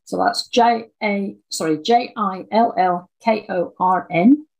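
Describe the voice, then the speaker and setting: A woman's voice: medium pitch, takes it slowly, vocal fry, darkish tone. A woman answering interview questions in a conversational way, as a guest writer. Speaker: interview guest, audio dramatist.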